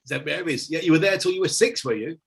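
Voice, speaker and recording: A man's voice: on the high side, expressive, fast talker, gravelly. A man from Greater Manchester hosting an interview, friendly and affirming, asking short questions. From Greater Manchester, England. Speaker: interview host.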